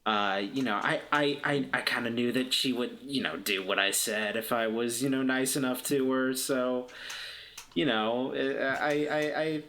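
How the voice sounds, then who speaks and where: A man voicing a self-satisfied, condescending young woman who talks in a halting, patronizing way in a tabletop role-playing game. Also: mid-range voice, sharp tone. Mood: smugly evasive.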